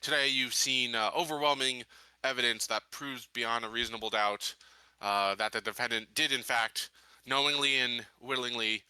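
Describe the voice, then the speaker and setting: A man playing a tabletop game, improvising in character with hesitant, measured phrasing. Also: medium pitch, fairly even intonation, sharp tone, clear, resonant tone, no echo, poor audio. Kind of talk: improvised in-character speech and casual chat.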